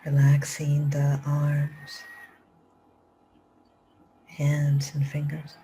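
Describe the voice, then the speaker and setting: A woman meditation teacher leading a live guided meditation, speaking slow, soft instructions that often drop to a whisper. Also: low-pitched, monotone, a little hiss or hum. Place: live group session.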